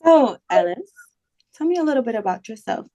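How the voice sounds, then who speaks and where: A woman's voice: a little high, lively intonation, brisk, mellow, highs cut off. A woman playing a curious, casual date in a dramatic read. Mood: curious, playful.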